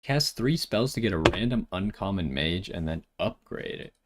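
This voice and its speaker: Low-voiced man commentating his gameplay. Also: expressive, moderate pace, noisy background. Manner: thinks aloud while deciding. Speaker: gaming video host.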